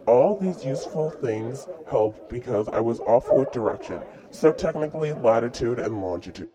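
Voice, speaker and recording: A man's voice: medium pitch, animated, moderate pace, very noisy, natural voice. A man playing a boastful dead explorer in a mock interview, reciting his answers plainly. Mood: lecturing. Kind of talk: school-project mock interview.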